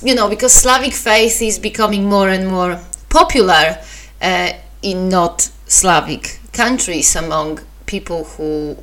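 Woman, Polish accent, solo podcast host; slow, halting, self-deprecating talk. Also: lowish voice, fairly bright tone. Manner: hesitant, many fillers and restarts, self-deprecating.

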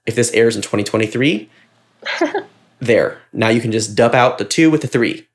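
A man's voice: mid-range voice, moderate pace. A man co-hosting a podcast, talking in a casual, reflective way with effusive, wordy and earnest delivery. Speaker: podcast co-host and ad maker.

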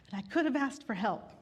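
A woman pastor giving a sermon in a relaxed, conversational way with gentle humor, weary from grief. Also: on the high side, breathy edge. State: grieving, tired. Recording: long hall reverb.

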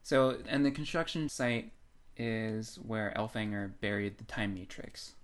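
Casual podcast co-host explaining, mid-pitched voice. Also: measured pace. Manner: informal, explanatory. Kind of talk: casual chat discussing books.

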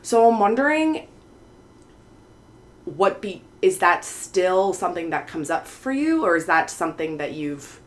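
A woman's voice: on the low side, big pitch swings, unhurried. A woman hosting a conversational interview, putting reflective questions in careful, tentative phrasing. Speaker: podcast host.

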